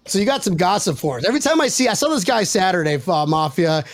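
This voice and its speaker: A man with a high-pitched voice and a dry, close sound hosts a sports podcast. He talks casually, joking at his own expense and swearing freely. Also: very animated, fast talker, dry recording. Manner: jokey, self-deprecating, profane. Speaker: podcast host.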